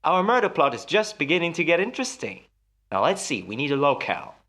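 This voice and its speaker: A man's voice: on the high side, sing-song, fast talker, fairly bright tone. An actor in a radio play as a jealous husband, musing theatrically and teasing his wife with menace. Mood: playful menace.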